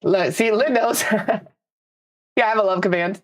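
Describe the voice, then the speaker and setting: A woman's voice: medium pitch, measured pace. A young woman from the United States, a streamer, chatting casually with her viewers in a rambling way and laughing easily, a little congested and nasal. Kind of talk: casual live-stream chat.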